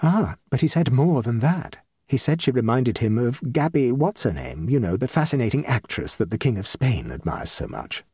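A man's voice: mid-range voice, very animated, moderate pace, hardly any pauses, a little mellow, slightly rough, no room sound, clean studio sound, pitched above the performer's own voice. A man voicing a middle-aged society hostess, an anxious matchmaking mother with an emphatic, effusive manner, in a comic story. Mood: eager, gushing. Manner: emphatic, effusive, self-dramatizing. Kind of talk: comic short story reading.

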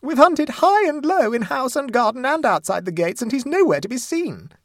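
A man voicing a distraught young mother in a storybook reading, shrill, tearful and indignant, wailing and sobbing as the words come out. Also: very animated, normal speed, no room sound, clean studio sound, full character voice. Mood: frantic. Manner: sobbing, high-pressure wailing.

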